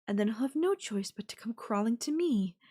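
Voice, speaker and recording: A woman playing an obsessive, possessive girlfriend in an intimate roleplay audio drama, sweet but menacing, with breathy cooing. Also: a little high, lively intonation, fairly quick, mellow. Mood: scheming, menacing. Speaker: voice actor.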